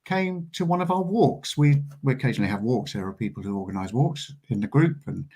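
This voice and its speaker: A man's voice: mid-range voice, animated, a little fast, mellow, slightly rough. A man being interviewed as a guest, a celebrant speaking earnestly and hesitantly, with many ums.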